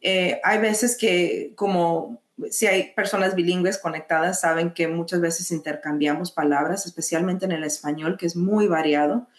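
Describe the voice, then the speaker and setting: A woman with a Mexican accent presenting a mental health lesson, measured, pausing often to restart phrases. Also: lowish voice, steady tone, reverberant. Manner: measured, with frequent hesitations and restarts. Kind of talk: educational webinar presentation.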